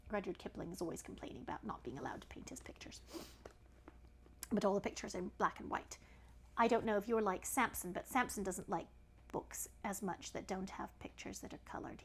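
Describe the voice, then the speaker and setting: A woman reading a children's story aloud in an animated style, with chatty asides to a young listener. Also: medium pitch, a little fast, vocal fry, whispered.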